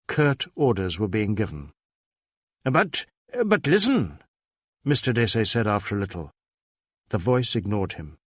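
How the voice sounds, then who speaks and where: A man narrating a crime novel in a measured, dramatic way. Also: on the low side, no room sound, studio quality.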